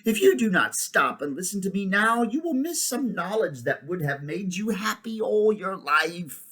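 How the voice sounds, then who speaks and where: Man voicing a proud, tempting witch queen, raised and husky. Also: sits high, big pitch swings, normal speed, sharp tone, voice pushed up, full character voice. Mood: scornful, commanding. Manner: grand, commanding, coaxing.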